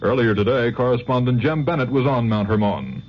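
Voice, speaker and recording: A man's voice: mid-range voice, fairly quick. An American man anchoring a 1970s radio network newscast from a script. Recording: dull, hissy vintage radio recording.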